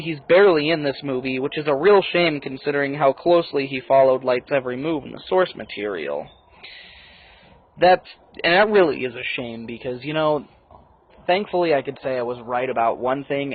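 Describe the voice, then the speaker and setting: A man's voice: mid-range voice, phone-quality sound. A man, a native English speaker, giving a blunt, casually profane and opinionated movie review in a conversational style.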